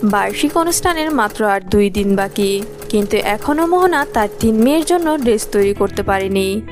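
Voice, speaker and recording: A woman narrator reading a scripted animated story. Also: medium pitch, normal speed, over music.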